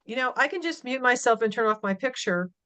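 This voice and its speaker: A woman's voice: fairly high pitch, lively intonation, brisk, bright, forceful tone, smooth. A woman in a virtual meeting, chattily reading out menu options as she tries to find a setting. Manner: chatty, reads menu items aloud. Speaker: commission member, temporary meeting host.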